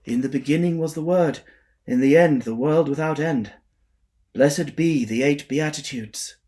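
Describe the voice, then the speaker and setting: A quiet, solemn young man, read by a male narrator, intoning scripture softly and evenly. Close to the reader's own voice in a storybook reading. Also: a little high, measured pace, voice pushed up, lightly acted.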